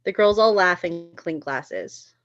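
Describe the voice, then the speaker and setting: A woman's voice: on the low side, animated, slow, dull audio. A woman reading screenplay stage directions aloud as the narrator of a table read.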